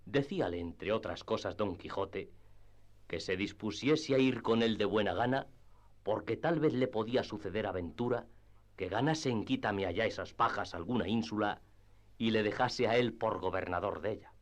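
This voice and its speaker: A man with a fairly low voice narrates a literary radio drama. His is measured, in the manner of a practised storyteller reading a script.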